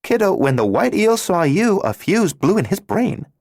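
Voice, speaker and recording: A sharp-tongued twelve-year-old girl, voiced by a man reading the story, blunt and theatrical in every line. Her voice jumps high above his own and swings wildly up and down. The sound is close and dry. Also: big pitch swings, normal speed, vocal fry, no echo, exaggerated voice. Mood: teasing. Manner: loud, emphatic, mocking.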